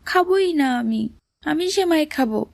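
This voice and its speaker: A woman's voice: high-pitched, lively intonation, moderate pace, gravelly, no echo. A woman voicing a hungry little boy in a cartoon drama, childlike, insistent and whiny. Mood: whiny, demanding. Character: hungry little brother, whiny, stubborn, tender-hearted.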